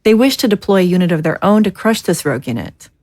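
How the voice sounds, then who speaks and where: A woman playing a composed, formal government leader in an audio drama, speaking in a measured, diplomatic way. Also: on the low side, expressive, darkish tone, no echo, clean studio sound. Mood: measured, grave.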